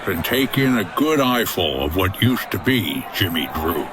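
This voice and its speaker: An actor playing a gruff, no-nonsense hotel owner turned sleuth in a comedy noir audio drama, terse and exasperated. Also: male voice, sits low, sing-song, a little slow, noisy background.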